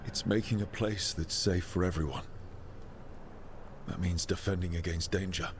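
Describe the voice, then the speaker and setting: An actor playing an earnest, determined heroic leader in a fantasy video game, sincere and resolute. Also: male voice, deep voice, steady tone, moderate pace, noisy background, lightly acted.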